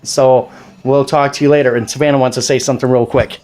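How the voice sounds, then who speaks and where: A man's voice: mid-range voice, little pitch movement, quick pace, a little mellow. A man of about forty with an American accent, explaining things in an informal, rambling way at home. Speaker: amateur radio operator and father. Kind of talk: casual explanatory chat to camera. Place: indoors at a home radio station.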